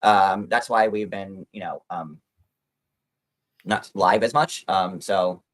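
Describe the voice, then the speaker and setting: A man's voice: low-pitched, takes it slowly. A man chatting casually on a livestream, rambling and swearing casually.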